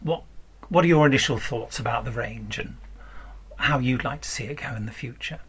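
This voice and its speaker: A man's voice: medium pitch, moderate pace, breathy edge. A man hosting a casual podcast chat and interviewing, in a jokey, self-interrupting way.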